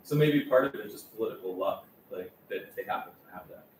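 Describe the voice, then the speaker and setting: A man moderating a casual group discussion, restating others' points with halting repeats. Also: sits low, expressive. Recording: echoey room, distant mic, faint hum.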